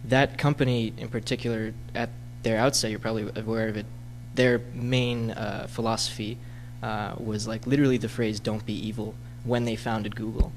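Flat-voiced man asking a question. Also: on the low side, moderate pace, hardly any pauses, a little hiss or hum, rough recording. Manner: hesitant, flat, many ums. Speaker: audience member asking a question. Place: lecture hall audience.

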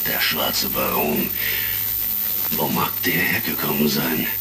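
A man playing an authoritative, deliberate intelligence official in a retro sci-fi audio drama. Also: a bass voice, animated, vocal fry, over music, exaggerated voice. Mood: pensive, resolute. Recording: crackly vintage vinyl-style recording, distant.

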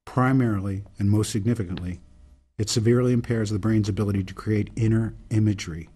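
An actor as a low, husky, solemn reformer. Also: male voice, fairly even intonation, dark tone. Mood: solemn, earnest. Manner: deliberate, solemn, speechifying. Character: earnest older male reformer laying out a grave case. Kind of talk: radio drama monologue, oratorical.

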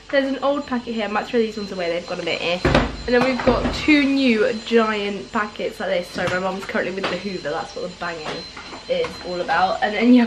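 A woman's voice: mid-range voice, noisy background. A young woman in her twenties chatting casually to a handheld camera in her home kitchen, with lots of filler words. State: croaky just-woken voice. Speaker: vlogger. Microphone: handheld camera at arm's length.

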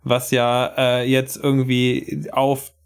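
A man's voice: medium pitch, monotone, slow, smooth. A man on a podcast panel, chatting casually.

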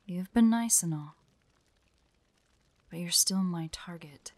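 Guarded, slow-speaking young huntress, acted by a woman. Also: on the low side, no room sound. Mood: grimly resolved. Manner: terse, suspicious, prickly, with breathy hushed asides. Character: guarded, proud young female hunter, wounded and freezing. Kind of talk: dramatic audio roleplay, addressed to an unheard listener.

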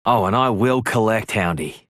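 Game casting: flirty Aussie pirate rogue, cocky and teasing, casual drawl, close dry recording. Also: male voice, on the low side, animated, normal speed, fairly bright tone, dry recording. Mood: flirty, playful. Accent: Australian. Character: cocky, flirtatious one-legged male pirate adventurer. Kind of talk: video game character voice lines.

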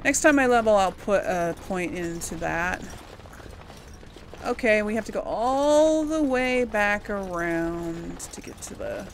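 A woman's voice: medium pitch, animated, slow pace, a little hiss or hum. A woman giving casual gameplay commentary, thinking aloud in trailing fragments over game music. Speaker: gameplay host.

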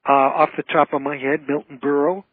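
An older man with a husky voice, telling anecdotes casually as a guest in a radio interview. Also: fairly high pitch, flat delivery, brisk, no room sound. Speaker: guest, author and comedy emcee. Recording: tinny, narrow phone-line sound.

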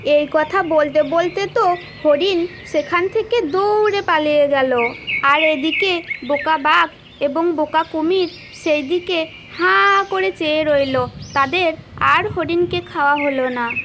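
A woman's voice: extremely high pitch, slow. A woman narrating a scripted children's story as the storyteller. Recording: clearly noisy, birdsong behind. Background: birdsong and nature sounds.

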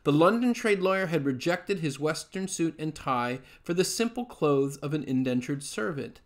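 Higher-voiced man reading nonfiction aloud. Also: lively intonation, moderate pace. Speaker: author reading aloud from his book. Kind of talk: read-aloud nonfiction narration. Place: small furnished room at home, indoors.

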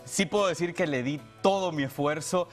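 A man's voice: fairly high pitch, normal speed. A man in his thirties from El Salvador, a television presenter giving a heartfelt, unscripted farewell in an earnest, grateful way. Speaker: TV presenter saying farewell to the show. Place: television studio. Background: music bed.

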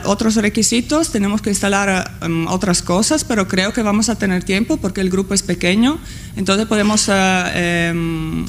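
A woman's voice: medium pitch, a little hiss or hum. A woman in her thirties, a native Italian speaker, presenting a tutorial with many hesitations and fillers at a conference panel table, using a table microphone. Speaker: course instructor.

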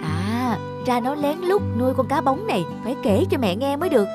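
Woman playing a spiteful cartoon girl, high-pitched, shrill, sneaky, jealous, music behind. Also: very animated, fairly quick. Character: jealous, spiteful young stepsister.

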